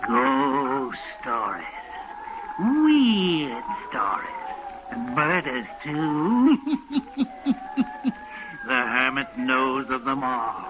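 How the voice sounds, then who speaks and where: An actor playing a sinister old hermit who tells ghost stories with theatrical menace in a 1940s radio horror show. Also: male voice, mid-range voice, sing-song, very slow, long pauses, over music. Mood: gleefully menacing. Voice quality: cackling. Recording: noisy, tinny 1940s radio recording.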